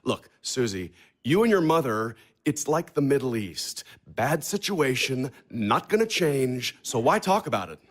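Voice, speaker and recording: A man's voice: medium pitch, sing-song. An actor in an audio play as a brash, cynical, wealthy man who talks bluntly and mocks everyone around him. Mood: sardonic. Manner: blunt, sarcastic, quick to mock.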